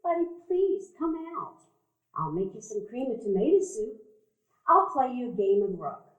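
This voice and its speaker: A soft-hearted older woman, acted by a woman in a live radio play speaks in a gentle, fretful, pleading way. Her voice sits fairly high and swings widely. The sound is roomy, as in an event hall. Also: big pitch swings, normal speed. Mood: coaxing. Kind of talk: community theatre radio play acting.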